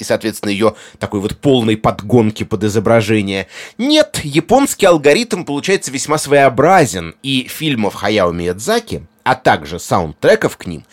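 A man presenting a scripted explainer in an erudite but conversational way, addressing the listener directly with rhetorical asides. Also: medium pitch. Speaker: host and narrator of a music explainer.

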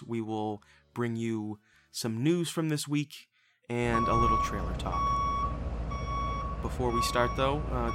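A man in his twenties hosting a casual podcast, loose and profane, rambling through movie news. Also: mid-range voice. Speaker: podcast host.

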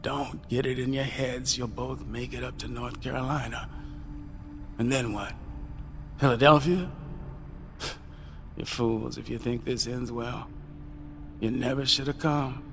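A man voicing a grim, hushed old uncle. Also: fairly even intonation, measured pace, long pauses, soft background music. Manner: low, grave and hushed. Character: weary grim old uncle, gold miner.

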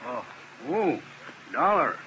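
Picture a worn-out defense lawyer in an old radio crime play, his voice bright and fairly high, swinging up and down with feeling. He speaks in a troubled, heartfelt way. A light hiss runs under the vintage broadcast. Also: big pitch swings, slow, highs cut off. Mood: guarded. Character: weary, guilt-ridden male defense lawyer. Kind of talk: 1950s radio drama acting.

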